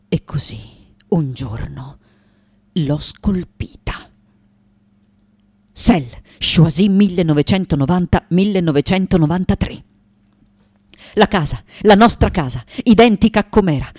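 A woman's voice: on the low side, dark tone, no echo. Intense, haunted old woman artist. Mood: haunted, sorrowful, then emphatic, exact. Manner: intense, dramatic, shifting between whispers and emphatic declamation. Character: aged female sculptor, haunted, obsessive, wry and eccentric. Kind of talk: theatrical radio monologue.

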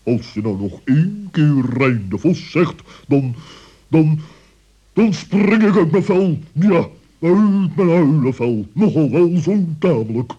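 A grumpy owl for a children's radio play, pompous and indignant. The pitch swoops about and the recording is dull and old. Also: male voice, lively intonation, slow, warm tone, slightly rough, full character voice. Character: grumpy, pompous owl with quaint wordy speech.

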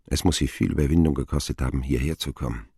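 An actor playing a grown man who recalls his wounded boyhood in a radio play, reflective and dryly ironic, with a gravelly, husky voice. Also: extremely low pitch, fast, mellow, no echo, studio quality, exaggerated voice. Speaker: narrator.

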